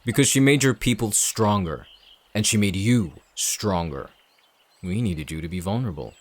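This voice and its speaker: An actor playing a cold, calculating villain in an audio drama, speaking in a measured, smug, menacing way. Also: male voice, fairly low pitch, animated. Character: cold, calculating male villain leading an anti-shifter operation. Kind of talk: dramatic audio roleplay acting.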